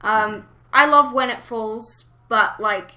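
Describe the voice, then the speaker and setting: A woman's voice: on the high side, slow pace, sharp tone. A woman hosting a casual fan podcast, chatting informally and giving blunt opinions. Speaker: podcast host.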